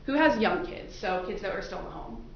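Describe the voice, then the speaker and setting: A woman's voice rings through a very echoey courtroom, picked up far from the mic. She speaks with a creaky edge as an attorney politely questioning a jury panel. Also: mid-range voice, expressive, brisk. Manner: polite, probing, repeats answers back. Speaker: attorney questioning prospective jurors. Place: large indoor courtroom. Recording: very echoey courtroom, distant mic, muffled, faint noise.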